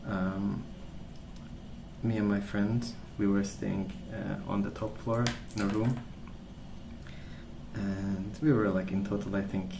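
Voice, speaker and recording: A man speaking softly as an ASMR host, rambling through stories in a hesitant, pausing, unhurried way. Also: fairly low pitch, flat delivery, vocal fry, background noise. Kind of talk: soft-spoken ASMR rambling.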